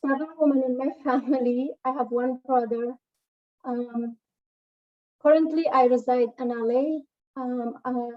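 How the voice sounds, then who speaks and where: Afghan-born woman panelist, high earnest voice. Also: highs cut off. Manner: earnest, with frequent fillers and restarts. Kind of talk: personal story and poem recital on a panel. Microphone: video call.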